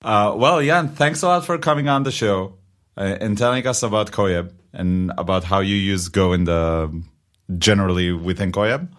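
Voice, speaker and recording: A man's voice: lowish voice, normal speed. A man with an Israeli accent co-hosting a podcast, chatting casually and joking about himself. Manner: chatty, joking, self-deprecating. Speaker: podcast co-host.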